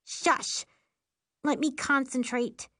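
A testy young witch girl, played by a woman narrator, high-voiced and easily flustered. She sounds like the little sister in a teen audiobook, recorded dry. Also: animated, unhurried, fairly bright tone, no echo. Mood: puzzled, testy.

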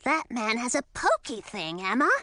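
A woman voicing an adolescent male dragon, eager and childlike, pleading in a high voice. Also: very animated, moderate pace, bright, forceful tone, no echo, studio quality. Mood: whiny.

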